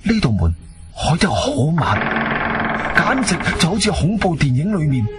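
Animated Cantonese male adventurer narrator. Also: on the high side, normal speed. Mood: ominous. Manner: expressive, suspenseful, colloquial delivery. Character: intrepid male adventurer telling his strange experience. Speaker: narrator and lead actor. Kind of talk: dramatised first-person storytelling, radio drama. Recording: background music under the voice.

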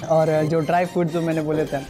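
A young man with a Bihari Hindi accent doing a casual, enthusiastic food review in a restaurant, talking fast with lots of fillers. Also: fairly high pitch, little pitch movement. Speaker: food vlogger. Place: restaurant indoors. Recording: loud background music.

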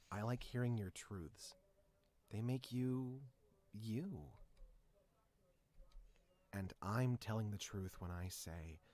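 An actor playing a gentle, devoted ghostly clown boyfriend in a visual novel, soothing and caring. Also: male voice, on the low side.

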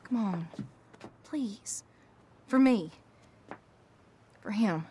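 An actress playing a weary rancher's wife and mother in a video game, imploring and soft-spoken. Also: medium pitch, animated, very slow, husky, exaggerated voice. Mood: pleading. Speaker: game character. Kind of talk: video game cutscene acting.

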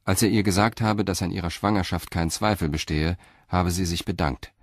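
A man narrating a radio crime drama in a measured, even way. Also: low voice, no room sound, studio quality.

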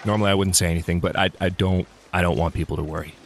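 Let's play host, male, low voice, slightly congested, rambling self-correcting commentary, faint room ambience. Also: steady tone, very fast, slight background noise. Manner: chatty, thinks aloud, frequent restarts. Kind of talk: casual gameplay commentary.

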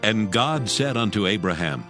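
A man narrating scripture from a script in a measured, formal way. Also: fairly low pitch, expressive. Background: faint music.

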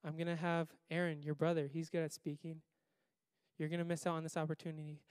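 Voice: flat delivery, quick pace, a little mellow, smooth, no room sound, clean studio sound, natural voice. A young preacher voicing God as a stern, fed-up figure who bluntly gives up on a reluctant helper. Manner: blunt, exasperated, low and firm. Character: God, stern and fed up, speaking as a commanding father.

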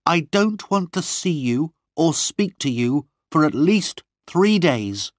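A man plays a young noblewoman from a romantic storybook. The voice is lifted high and speaks with earnest, pleading emotion. It is loving and tender, with a readiness for indignation, in a dry, close sound. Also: lively intonation, slow pace, no room sound. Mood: quietly angry.

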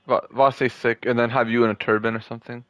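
A man's voice: mid-range voice, little pitch movement, fast, a bit bright, no room sound. A young man in casual gaming chat with a friend, joking and trash-talking and often repeating his words. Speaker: gamer.

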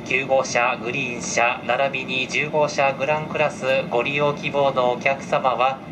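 A man's voice: mid-range voice, little pitch movement. Polite male train conductor over noisy PA. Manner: very polite, measured, formal phrasing. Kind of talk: formal onboard announcement. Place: inside a train car. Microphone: camera picking up cabin loudspeaker. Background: train ventilation and vehicle noise.

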